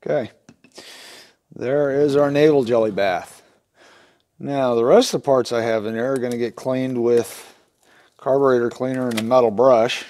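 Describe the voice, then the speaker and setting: A man's voice: mid-range voice, fairly even intonation, moderate pace, pauses often, darkish tone. A middle-aged man talks casually through a repair step by step, in a plain-spoken, folksy way as he works. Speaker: instructor in a how-to repair video. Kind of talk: casual hands-on demonstration talk. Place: small wooden workshop at a workbench, indoors.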